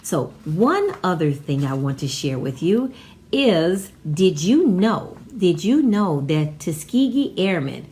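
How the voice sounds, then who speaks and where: A woman's voice: fairly low pitch, big pitch swings, slow pace, warm tone. An American woman hosting an informal educational vlog, partly reading aloud and partly ad-libbing, with an emphatic delivery that repeats phrases. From Chicago, United States. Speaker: vlog host.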